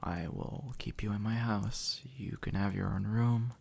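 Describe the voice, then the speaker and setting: A man playing an easygoing, centuries-old demon who was once human, speaking gently and casually to the listener in an intimate audio roleplay. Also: fairly low pitch, level tone, measured pace, gravelly. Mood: casual, teasing. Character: easygoing two-century-old male demon, formerly human, reassuring and teasing.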